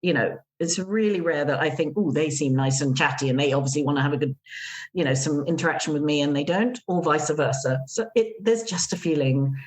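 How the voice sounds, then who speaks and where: Brief: middle-aged Englishwoman, English accent, lower-pitched voice, chatty guest on a casual podcast. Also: a little fast, darkish tone. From England. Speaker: gîte owner, guest.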